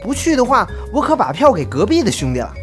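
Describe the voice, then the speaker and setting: A woman's voice: fairly high pitch, very animated, fast. A woman playing a chatty, teasing young male college roommate in an audio drama, casual and pushy. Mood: teasing, coaxing. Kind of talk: Chinese online audio drama acting. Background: faint music.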